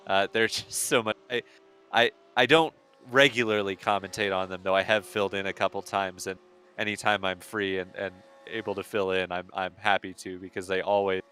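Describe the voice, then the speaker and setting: A man commentating a sim race on a live stream, friendly and halting, with many fillers as he runs down the standings. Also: low-pitched, fairly even intonation, pushed and bright.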